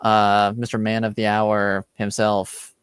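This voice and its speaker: Unhurried man hosting a tabletop game. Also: on the low side, completely flat delivery, clear, resonant tone, no echo, studio quality. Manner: joking, self-deprecating. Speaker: game master and host. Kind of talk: casual introduction to a tabletop roleplaying stream.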